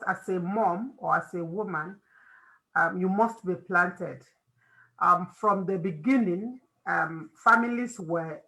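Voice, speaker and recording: Bright-voiced Nigerian woman giving advice. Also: on the low side, rough recording. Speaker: host of a family discussion. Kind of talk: informal faith-based advice talk.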